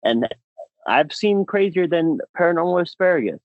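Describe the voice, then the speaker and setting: An enthusiastic male podcast host with a slightly high voice that swings up and down a lot. He chats casually and leans on repeated words for emphasis. The sound is close and a little dull. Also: animated, moderate pace, no echo.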